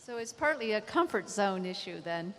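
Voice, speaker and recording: A woman moderating a panel with conversational questions, halting a little as she rephrases them. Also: medium pitch, animated, fairly bright tone, slight background noise. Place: conference hall panel. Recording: echoey hall with long reverb.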